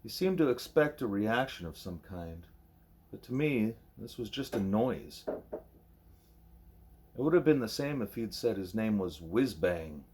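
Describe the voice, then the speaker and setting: A man's voice: lowish voice, expressive, unhurried, darkish tone, slight background noise. A man reading a first-person novel aloud as scripted audiobook narration.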